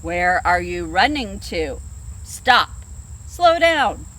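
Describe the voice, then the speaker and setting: A woman voicing a big, grumpy male brown bear who growls in a gruff, scornful way, outdoors in an orchard. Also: mid-range voice, big pitch swings, slow, fairly bright tone, a little hiss or hum, pitched below the performer's own voice.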